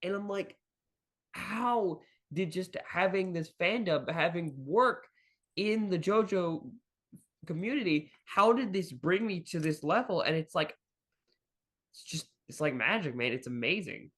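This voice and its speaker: A man's voice: high voice, lively intonation, measured pace, a bit bright. A man in casual podcast conversation, speaking in an earnest, emphatic way. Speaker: fandub team member on podcast.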